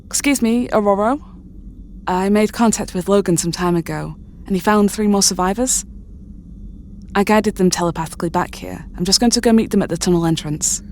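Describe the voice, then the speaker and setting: An actress playing a weary telepathic heroine, articulate and proper, in a superhero audio drama. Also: female voice, mid-range voice, a little fast, darkish tone, slight background noise, lightly acted. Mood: brisk, tired.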